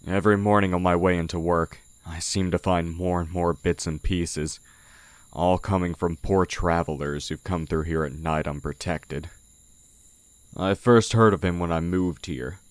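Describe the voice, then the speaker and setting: Man playing a very deep, slow-talking sly demon blacksmith. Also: fairly even intonation, clean tone, no echo. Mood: grim. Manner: slow, measured, condescending. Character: sly male oni demon posing as a humble village blacksmith. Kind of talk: audio roleplay, intimate character acting. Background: night forest ambience with crickets.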